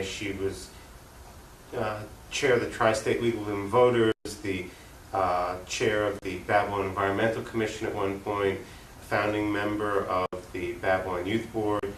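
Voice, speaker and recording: A man from Long Island, New York, giving conversational, explanatory interview answers with halting pauses. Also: lowish voice, flat delivery, a bit bright. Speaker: interview guest, political activist. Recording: roomy, distant mic, faint noise.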